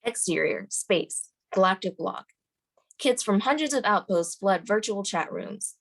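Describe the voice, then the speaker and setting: A woman's voice: medium pitch, lively intonation, a little slow, vocal fry. A woman reading screenplay stage directions as the narrator at a table read.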